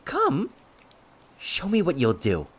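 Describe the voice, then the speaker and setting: A man's voice: low-pitched, big pitch swings, measured pace, slight background noise. A man voicing a gleefully unhinged, cocky male ghost who mocks and taunts in an audiobook story. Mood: gleeful provocation. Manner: cocky, mocking, manic, shouting and swooping.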